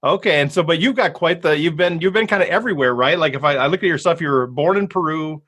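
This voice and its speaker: A man's voice: on the high side, fast, a bit bright, breathy edge. A man hosting a podcast interview, chatty and upbeat, laughing easily as he talks. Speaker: podcast host. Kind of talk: casual interview chat.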